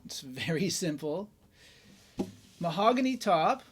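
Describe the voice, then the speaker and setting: A man's voice: on the high side, sing-song, slow, slight background noise. A man hosting a casual guitar review, chatty and enthusiastic, with lots of fillers and tag questions.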